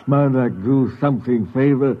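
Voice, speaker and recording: An actor playing a spider-like alien native who pleads in halting broken English, in the style of 1950s science fiction radio. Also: male voice, medium pitch, natural voice. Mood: wheedling. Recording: muffled, phone-like old radio recording.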